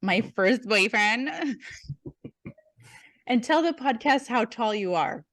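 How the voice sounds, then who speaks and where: A woman's voice: on the high side, expressive, slow pace, fairly bright tone, no echo. A woman co-hosting a podcast, chatting casually and reacting with giggles. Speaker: co-host. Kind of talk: casual chat.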